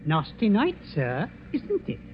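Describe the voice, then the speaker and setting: Servile butler, high voice swooping all over, polite, old 1940s radio, muffled. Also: male voice, wildly swooping pitch, takes it slowly, heavily acted. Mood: servile, courteous. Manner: obsequious, formal. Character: Lane, deferential manservant with chatty politeness. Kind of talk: 1940s radio mystery acting. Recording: muffled, hissy vintage 1940s radio recording.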